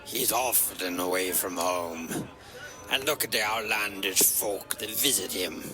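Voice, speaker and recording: A man's voice: deep voice, a little slow. An actor playing a suspicious, sour rustic hobbit in an audio drama, grumbling and insinuating as he gossips. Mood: suspicious, scornful. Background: music.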